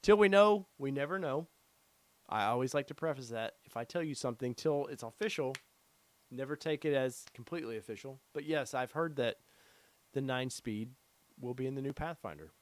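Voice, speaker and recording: A man's voice: mid-range voice, a little fast. Rambling man reading live chat. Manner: rambling, many fillers, reads chat aloud. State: losing his voice. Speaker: podcast host. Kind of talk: casual live-stream chat reading viewer comments.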